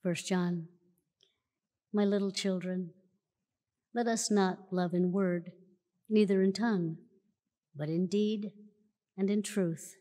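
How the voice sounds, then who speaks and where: A woman reading scripture aloud at a church lectern in a measured, solemn way, recorded in a large church auditorium. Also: medium pitch, fairly even intonation, mellow. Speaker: church reader. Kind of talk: slow formal scripture reading. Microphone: lectern mic from a far camera. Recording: long church hall reverb.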